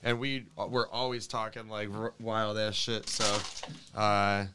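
A man's voice: lowish voice, level tone, unhurried, noisy background. A man in his thirties chatting casually on a podcast, laid-back and rambling, with lots of fillers and restarts. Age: 30s. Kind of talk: casual chat.

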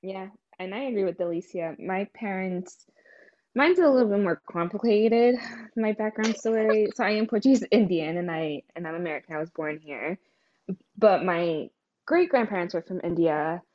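A woman's voice: medium pitch, moderate pace. A young woman co-hosting a podcast, rambling casually and correcting herself with "you know" and "like". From New Jersey, US. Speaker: podcast co-host. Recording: dull lo-fi podcast audio.

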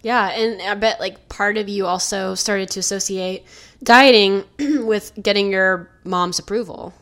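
A woman's voice: mid-range voice, fairly even intonation, unhurried, fairly bright tone. A woman interviewing a guest, adding short supportive replies.

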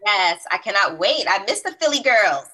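Woman guest from New York, mid-pitched voice, upbeat and friendly. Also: big pitch swings, normal speed, bright, forceful tone, slightly rough. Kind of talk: casual interview.

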